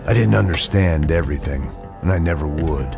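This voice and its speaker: An extremely deep, world-weary American man narrating a hard-boiled noir game. He is cynical, brooding and deadpan, with loud music under his words. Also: brisk, creaky. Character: jaded, world-weary male ex-cop antihero.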